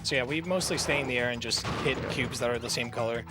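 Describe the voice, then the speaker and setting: Dude casually explaining a game run live, medium pitch, game music in the background. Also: male voice, fast. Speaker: speedrun commentator. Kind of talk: casual live speedrun commentary. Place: live gaming marathon event.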